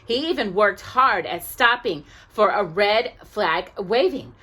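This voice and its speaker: A woman reading a children's story aloud expressively, as if to young listeners, pausing to check in with them. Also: medium pitch, a bit bright. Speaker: storytime reader.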